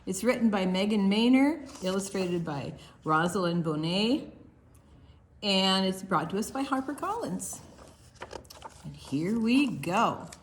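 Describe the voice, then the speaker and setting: An older woman co-hosting a children's storytime, explaining and reading in a gentle, deliberate, expressive way. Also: medium pitch, heavy reverb, a little hiss or hum. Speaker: children's storytime co-host and reader.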